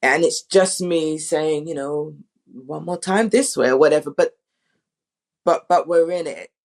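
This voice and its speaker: A woman director in a casual panel talk, thinking aloud in a halting, conversational way. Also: low-pitched. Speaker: audio drama director.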